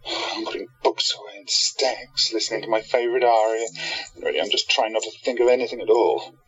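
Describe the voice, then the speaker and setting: A man playing an irritable, stubborn, grieving bookshop keeper in a radio play, husky and dramatically self-justifying. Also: on the low side, fairly quick, a bit bright, highs cut off. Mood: bored, brooding.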